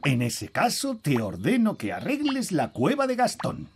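Pompous, animated cartoon fairy king. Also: high-pitched, moderate pace, heavy background noise, heavily acted. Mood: self-assured. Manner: pompous, expressive. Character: blustering, self-assured middle-aged fairy king, jovial father. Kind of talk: animated children's cartoon dub.

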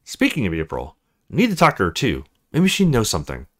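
A man voicing a brooding young male visual novel protagonist in a low, musing way. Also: wildly swooping pitch, brisk.

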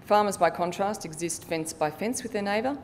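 A middle-aged woman giving a prepared formal conference presentation in a measured way, occasionally stumbling as she reads from her notes. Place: conference hall stage, indoors. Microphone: lectern microphone. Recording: reverberant hall, audible low background rumble.

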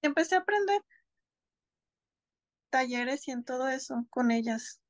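A woman's voice: high voice, expressive, unhurried, no echo. A woman in her thirties from Mexico, a homeschooling mother speaking on a video call, thoughtful and hesitant, often restarting her words. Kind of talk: casual interview conversation. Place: home, seated on a couch.

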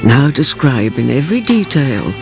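An actress playing the Count's devoted companion, narrating a radio drama in a grave, formal, theatrical way. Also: female voice, rumbling low, sing-song, moderate pace, gravelly, full character voice. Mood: solemn. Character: older woman narrator, the Count's devoted companion, solemn and impassioned. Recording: music behind the voice. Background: dramatic music.

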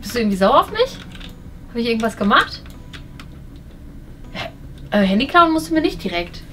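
A woman's voice: a little high, sing-song, normal speed, pushed and bright, faint music behind. A young adult woman in casual everyday conversation, picked up by a camera across a room indoors. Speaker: colleague and target of hidden-camera pranks. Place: indoor office and studio kitchen. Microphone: hidden camera across the room.

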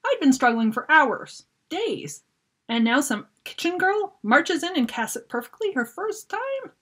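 A woman's voice: high-pitched, sing-song, unhurried, voice pushed up. A proud young girl learning magic in a fantasy storybook, read by a woman. She sounds indignant and urgent, her raised voice rising and dipping sharply. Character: frustrated, proud young girl apprentice mage.

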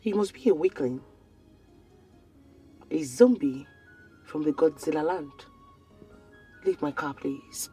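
An actress with a Nigerian accent playing a domineering, contemptuous rich madam in a screen drama, haughty and cutting. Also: female voice, low voice, lively intonation, slow pace, pauses often, warm tone, breathy edge, soft background music, heavily acted. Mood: scornful, angry.

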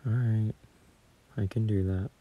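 A man speaking softly and hesitantly into a close phone mic as a gentle, caring boyfriend in a soothing ASMR roleplay. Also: fairly low pitch, fairly even intonation, measured pace, narrow, tinny audio. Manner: soft, hesitant, soothing, at times near whisper.

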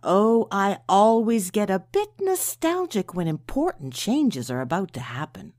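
A woman playing a gentle, wise elderly aunt who chides fondly, in an amateur comic dub. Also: medium pitch, very animated, unhurried, dry recording, studio quality. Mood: fond, chiding.

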